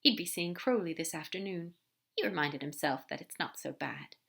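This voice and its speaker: A woman reading a fan story aloud with a fairly low voice. Her narration is gentle and even, an audiobook-style read. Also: moderate pace, gravelly. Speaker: podfic reader.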